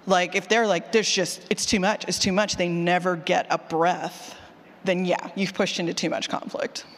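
Animated woman speaking in a reverberant hall. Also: on the low side, normal speed, a little hiss or hum. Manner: animated, self-deprecating, candid, quotes her own thoughts aloud. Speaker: presenter, fiction podcast writer. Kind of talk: casual conference talk with audience questions. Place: indoor session room with long reverb.

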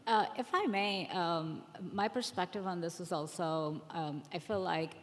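A woman's voice: fairly low pitch, measured pace. A woman on a conference panel giving her opinion in an emphatic, conversational way. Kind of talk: panel discussion, personal opinion with examples. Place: conference hall, live panel. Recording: echoey hall with long reverb.

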